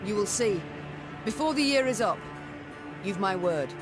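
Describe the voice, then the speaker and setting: A woman's voice: mid-range voice, expressive, unhurried, over music, natural voice. Exiled fantasy queen for a video game, female actor: proud, defiant, stately diction, mid-range voice. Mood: resolute. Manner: formal, regal, cutting. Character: proud, defiant exiled warrior queen, middle-aged mother. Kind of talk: dramatic video game voice acting.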